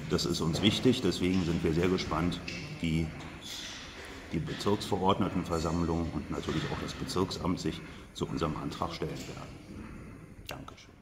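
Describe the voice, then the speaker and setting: A man's voice: low voice, fairly even intonation, moderate pace. A man giving a political update to camera as a local politician. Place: large glass-walled building lobby, indoors. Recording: noisy, ambient sound of a lobby.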